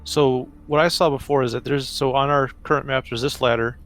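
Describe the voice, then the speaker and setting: A man's voice: medium pitch, fairly even intonation, fairly quick, fairly bright tone. A man talking casually as a player in a tabletop roleplaying game, thinking through the next move. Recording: faint background noise.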